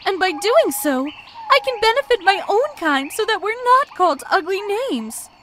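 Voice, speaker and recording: A woman voicing a big, wary female ostrich with hurt pride for a children's animated story, questioning and challenging. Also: very high voice, moderate pace, slight background noise, pitched above the performer's own voice, exaggerated voice. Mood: thoughtful, questioning.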